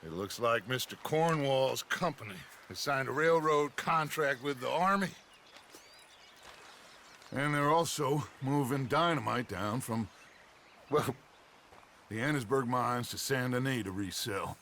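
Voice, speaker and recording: A man's voice: medium pitch, a bit bright, a little hiss or hum. A man playing a proud, aging American outlaw gang leader in a video game drama, with a grandiose, theatrical way of talking. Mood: scheming. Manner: theatrical, grandiose, measured drawl.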